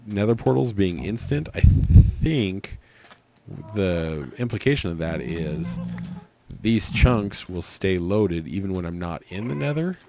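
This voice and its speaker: A man with a cold, hoarse and raspy, rambling through casual gameplay commentary with lots of fillers. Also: sits low, big pitch swings, slow pace, mellow, slight background noise. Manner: rambling, thinking aloud with many fillers. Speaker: let's play host.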